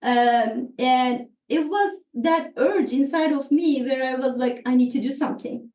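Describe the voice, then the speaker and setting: A woman's voice: high-pitched, unhurried, a little mellow. A woman from Turkey with a Turkish accent and native Turkish, talking earnestly as an interview guest, often repeating words as she searches for phrasing. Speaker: podcast guest, therapist. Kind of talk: conversational interview. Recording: echoey room, distant from mic.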